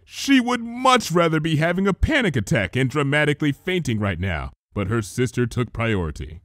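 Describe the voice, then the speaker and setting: A man's voice: fairly high pitch, big pitch swings, normal speed, a bit bright, dry recording, clean studio sound. A man reading fan fiction aloud as a dramatic narrator. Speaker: fanfiction reader. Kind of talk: dramatic scripted story narration.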